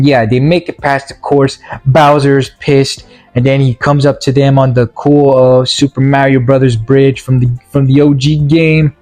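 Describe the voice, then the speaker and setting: Male movie reviewer, mid-pitched, casual and slangy, stop-and-start recap with sarcastic asides, music underneath. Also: a little mellow. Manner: halting, stop-and-start, repeating words. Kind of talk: casual, rambling film recap commentary.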